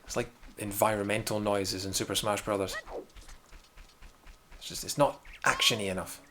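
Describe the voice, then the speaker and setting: A man's voice: lowish voice, fairly even intonation, moderate pace, background noise. A man with a mild Scottish accent, casually commenting on his own gameplay during a live stream, wry and muttering to himself. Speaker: game streamer. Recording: game music playing behind.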